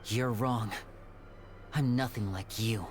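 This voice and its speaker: A woman voicing an earnest, quietly proud young male stage magician in a video game. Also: a bass voice, slow pace, faint music behind. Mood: defiant.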